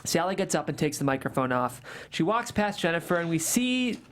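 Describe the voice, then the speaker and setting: A man's voice: a little high, very animated, brisk, fairly bright tone, a little hiss or hum. Man, stage directions, matter-of-fact, table read.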